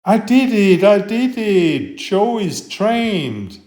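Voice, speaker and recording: Man voicing an eager, higher-pitched storybook boy. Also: big pitch swings, very slow, a little mellow, reverberant, exaggerated voice. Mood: excited.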